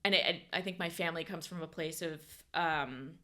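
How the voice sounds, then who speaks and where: A woman's voice: low-pitched, normal speed, pushed and bright. A young woman from the United States, a podcast guest in casual chat who rambles with many fillers and corrects herself.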